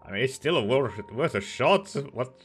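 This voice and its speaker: A woman's voice: a bass voice, expressive, moderate pace. A woman giving casual commentary while playing through a video game. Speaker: let's play streamer. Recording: game music behind the voice.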